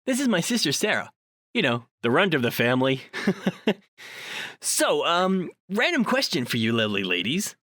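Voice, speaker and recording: Man acting an animated, cocky paranormal investigator. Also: on the high side, normal speed, no echo, clean studio sound, full character voice. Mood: friendly, upbeat. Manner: talkative, wordy, animated. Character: chatty, cocky male paranormal investigator, eccentric and apologetic. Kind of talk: audio drama dialogue acting.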